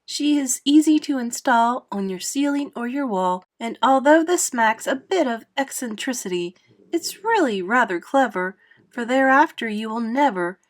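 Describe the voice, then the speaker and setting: Woman as a high-pitched, eager little boy. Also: lively intonation, moderate pace, natural voice. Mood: showy, eager. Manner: eager, lilting, recites in rhyme. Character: cheerful, polite small boy, eager and proud.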